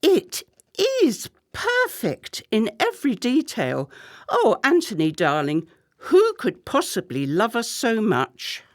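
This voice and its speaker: Imperious, swooping society wife. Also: female voice, a little high, huge pitch swings, slow pace, no echo, clean studio sound, full character voice. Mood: delighted, effusive. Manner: theatrical, commanding, effusive. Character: imperious, gushing well-to-do wife and party hostess. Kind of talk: radio play acting.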